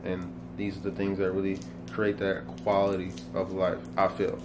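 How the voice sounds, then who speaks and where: A young man in his twenties gives an earnest motivational talk, circling back and repeating phrases as he thinks. His voice sits low and stays fairly flat. A clear layer of background noise runs under the recording. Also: moderate pace. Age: 20s. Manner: earnest, rambling, many restarts and repetitions. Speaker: motivational vlogger. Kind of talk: casual motivational monologue.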